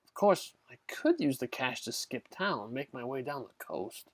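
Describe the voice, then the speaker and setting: Man playing a slangy, whiny small-time crook, lively. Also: mid-range voice, normal speed, no echo. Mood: scheming. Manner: slangy, flippant, whiny wise guy. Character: cowardly small-time crook, a dog thief for hire. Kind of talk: comic audio drama acting.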